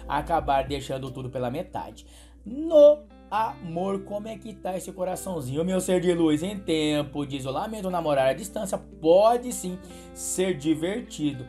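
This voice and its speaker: A man in his thirties with a Brazilian Portuguese accent reads out a daily horoscope as a channel host. His voice sits a little high for a man, and his delivery is chummy and coaxing, full of pet names for the listener. Light music plays softly underneath. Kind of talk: daily horoscope reading and sales pitch. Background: light background music.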